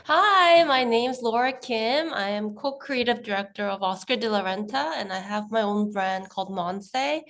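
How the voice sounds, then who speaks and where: A woman's voice: fairly high pitch, normal speed, few pauses, fairly bright tone. A woman fashion designer introducing herself on a video-call panel in a plain, earnest way. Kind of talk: conversational self-introduction.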